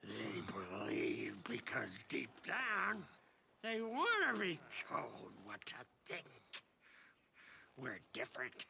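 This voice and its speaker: An actor playing a drunken, eccentric old man in a video game, rambling boastfully and erratically in a gravelly voice. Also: medium pitch, huge pitch swings, slow pace, slight background noise, heavily acted. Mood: fervent, defiant. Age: older. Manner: erratic, theatrical, swinging from muttering to shouting. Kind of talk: video game character acting.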